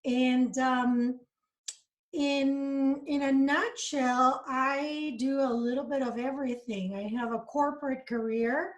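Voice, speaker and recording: A woman's voice: sits high, fairly even intonation, slow. A middle-aged woman from Costa Rica, a guest in a casual interview, talking in an earnest, reflective, upbeat way about her life and beliefs.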